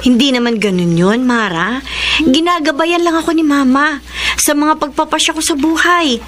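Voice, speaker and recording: High-voiced, dutiful elder sister, played by a woman. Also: moderate pace, a bit bright. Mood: reassuring. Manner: preachy, reassuring. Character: dutiful, advising elder sister, married, obedient to mother. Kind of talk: Filipino audio drama acting. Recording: faintly noisy amateur recording.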